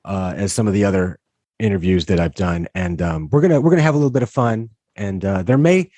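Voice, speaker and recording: A man's voice: deep voice, fast talker, dark tone, no room sound, dull audio. A man hosting a casual interview podcast, talking loosely with lots of restarts and fillers. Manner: rambling, many restarts and fillers. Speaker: podcast host.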